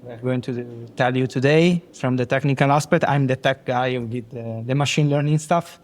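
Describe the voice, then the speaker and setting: A man with an Italian accent, a software engineer guest, speaking hesitantly with many fillers and repeats. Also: medium pitch, fairly even intonation, moderate pace. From Italy. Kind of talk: casual interview talk.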